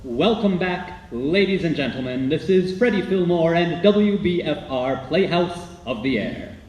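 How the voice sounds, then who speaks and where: A formal, showmanlike radio host, played by a man, with a somewhat high, animated voice. He announces in polished old-time style in an echoing room. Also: a little slow. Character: formal, courteous male radio playhouse host. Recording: roomy echo, faint background noise.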